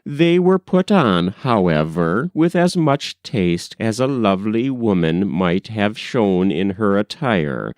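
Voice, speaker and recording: A man reading a literary story as an audiobook narrator, in a measured, expressive storytelling delivery. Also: on the low side, no echo, clean studio sound. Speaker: audiobook reader.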